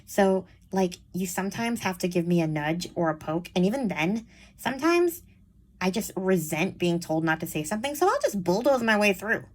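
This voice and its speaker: A woman chats to her audience in a voice that sounds childish and young. Her pitch swings up and down a lot as she rambles. She speaks frankly and uses plenty of fillers. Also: very animated, normal speed. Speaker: vlogger. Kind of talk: casual chat to camera.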